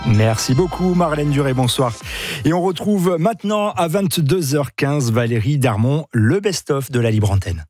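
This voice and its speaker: A male radio news anchor reads the bulletin in a formal, measured manner, pausing now and then on a filler. His voice sits a little high, and the studio sound is close and completely dry. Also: expressive, darkish tone, no echo, soft background music. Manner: measured, formal delivery with occasional hesitations. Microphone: dry studio microphone.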